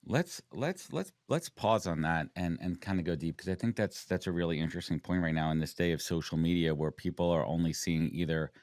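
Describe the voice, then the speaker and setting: A man's voice: low voice, brisk, few pauses, a little mellow, no echo, studio quality. A man in his forties hosting a casual interview, emphatic and repeating words as he launches into questions. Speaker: podcast host.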